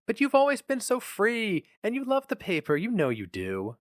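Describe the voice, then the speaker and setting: A man voicing a worried young wife who speaks hesitantly, affectionately and with gentle pleading. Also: high-pitched, huge pitch swings, moderate pace, dry recording, studio quality, pitched above the performer's own voice. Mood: worried, doubtful.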